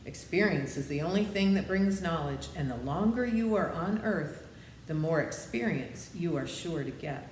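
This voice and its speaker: A woman's voice: on the low side, expressive, a little mellow, slight background noise, natural voice. Woman reading as an old humbug wizard, echoey. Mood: kindly, meek. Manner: meek, explanatory, measured. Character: old humbug wizard, apologetic yet kindly.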